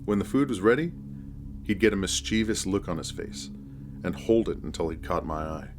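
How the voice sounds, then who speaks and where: A man narrating a scripted first-person horror story in a measured, deliberate storytelling manner. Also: sits low, expressive, soft background music.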